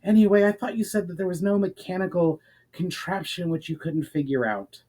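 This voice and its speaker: A man's voice: high voice, a little fast, warm tone, voice pushed up. A man voicing a frank, resolute noblewoman fighter in a fantasy story. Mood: defensive.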